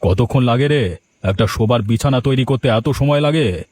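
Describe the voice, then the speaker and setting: A man's voice: medium pitch, normal speed, darkish tone, lightly acted. A man voicing an imperious, impatient landlord in a cartoon, bossing people about. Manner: bossy, impatient. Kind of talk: cartoon dialogue.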